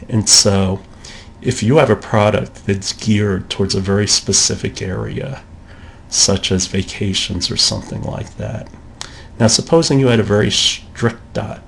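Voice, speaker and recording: A man's voice: fairly low pitch, vocal fry, slight background noise. A man giving a recorded college lecture in a conversational way, acting out the thoughts of shoppers in quotes.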